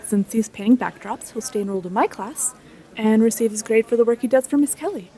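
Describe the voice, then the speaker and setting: Casting: woman as brisk schoolteacher, mellow but slightly high voice, no-nonsense, audio drama. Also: lightly acted. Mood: businesslike. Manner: curt, businesslike. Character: brisk, matter-of-fact female high school teacher.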